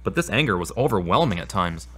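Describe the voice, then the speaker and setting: A man's voice: sits low, very animated, fast talker, a bit bright, full character voice. A man acting as a troubled, self-doubting therapy patient in a scripted audio drama, speaking in an earnest, confessional way. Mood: frustrated, uneasy. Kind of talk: scripted audio drama dialogue and spoken prayer.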